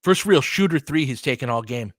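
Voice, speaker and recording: A man's voice: mid-range voice, animated, a little fast, dry recording, studio quality. A man giving casual, slangy live basketball play-by-play as a partisan fan host, often in short clipped phrases.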